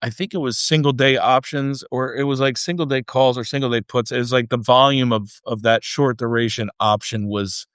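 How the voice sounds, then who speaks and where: A man with a medium-pitched voice hosts an interview in an informal, conversational way. He thinks aloud as he works toward each question. The recording is close and dry. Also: fairly even intonation, moderate pace, hardly any pauses, a bit bright, clear, resonant tone, no echo. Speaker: podcast host, interviewer.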